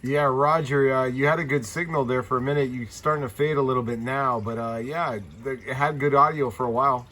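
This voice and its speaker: Male radio operator, mid-pitched, easygoing and friendly, casual on-air contact chat over heavy hiss and static. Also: fairly even intonation, fairly quick, hardly any pauses. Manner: friendly, laid-back, radio jargon acknowledgements. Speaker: CB radio operator and YouTube channel host.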